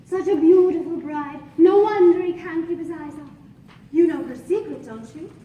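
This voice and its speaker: An actress playing an admiring, confiding wedding guest in a 1940s radio soap commercial. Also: female voice, very high voice, moderate pace, phone-quality sound. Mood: admiring, knowing. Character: gossipy female wedding guest praising beauty soap. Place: theatre stage, live performance. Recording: echoey stage, distant, slightly noisy.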